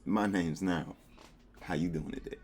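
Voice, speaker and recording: A man's voice: low voice, unhurried, a little mellow, slight background noise. A man hosting a reaction video at home, chatting casually with playful slang.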